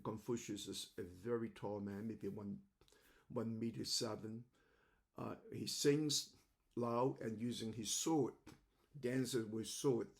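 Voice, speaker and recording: Older man, slow and gravelly. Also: mid-range voice. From Macau. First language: Cantonese. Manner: halting, repeats words, gestures with hands. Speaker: host. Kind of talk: reflective monologue. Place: home room indoors.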